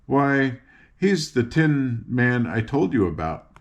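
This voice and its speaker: A man's voice: mid-range voice, sing-song, slow. An older man voicing a cheerful young farm girl who patiently explains things as she guides her friends. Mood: chatty.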